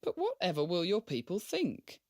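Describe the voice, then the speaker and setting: Radio drama role: man as silver-tongued merchant, courteous and formal, gently teasing, with lively, wide-moving intonation. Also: high voice, moderate pace, full character voice. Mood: smooth, deferential. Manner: formal, flattering, eloquent. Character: courteous, teasing male merchant, a grateful former slave. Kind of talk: scripted radio drama acting.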